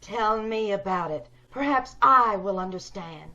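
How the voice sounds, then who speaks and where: A woman's voice: medium pitch, animated, unhurried, bright, forceful tone. A woman playing a homely, probing woman who yearns for beauty, questioning insistently in old-time radio drama style, over dull video-call audio. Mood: probing, polite.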